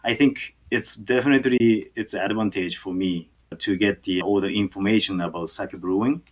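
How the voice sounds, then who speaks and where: Halting Japanese-accented man, lowish voice. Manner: halting, many fillers and restarts. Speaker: sake brewer. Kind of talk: interview answers.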